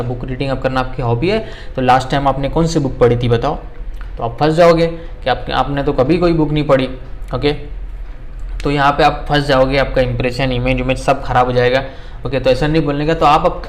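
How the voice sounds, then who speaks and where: A man teaching spoken English in a casual lesson, dropping "okay" often and slowing right down to say English example phrases. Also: a little high. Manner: conversational, repeats "okay" and slows down to spell out English phrases.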